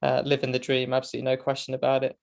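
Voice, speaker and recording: A man's voice: mid-range voice, monotone, racing, vocal fry, clean tone. British male, twenties, mid-range voice, talkative podcast guest with a casual, self-effacing delivery. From Great Britain. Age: 20s. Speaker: guest, motorcycle racing commentator. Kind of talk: casual chat.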